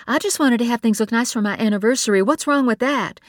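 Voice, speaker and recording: A woman's voice: fairly high pitch, moderate pace, darkish tone, no echo, clean studio sound, pitched above the performer's own voice, natural voice. A woman voicing a grieving young mother who turns tight and defensive. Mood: angry. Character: tense grieving young mother, quick to anger.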